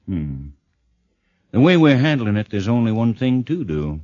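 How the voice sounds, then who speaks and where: An actor playing a businesslike, hard-boiled fixer, terse and matter-of-fact, in 1940s radio drama style. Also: male voice, medium pitch, very animated, unhurried, a little mellow. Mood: cool, businesslike. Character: businesslike, hard-boiled male fixer taking on a delicate job. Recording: dull vintage radio recording.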